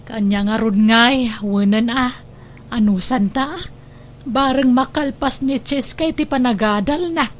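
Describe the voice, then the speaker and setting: Soothing, protective family woman played by an actress, high voice, radio drama, light background noise. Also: a little slow, lightly acted. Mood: gentle. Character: caring, soothing female relative of a troubled young mother. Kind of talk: Ilocano radio drama acting.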